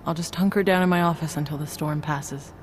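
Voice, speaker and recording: An actress playing a wry, soft-spoken therapist in a video game, shaken but resilient. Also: female voice, deep voice, fairly even intonation, fairly quick, a little hiss or hum, natural voice. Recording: game cutscene with loud score.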